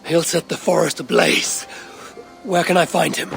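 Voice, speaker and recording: An actor playing a stern, level-headed Viking clan leader in a video game, speaking in a measured, dry way. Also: male voice, a little high, creaky, a bit bright, faint music behind. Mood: calm, wary. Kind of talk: dramatic video game acting.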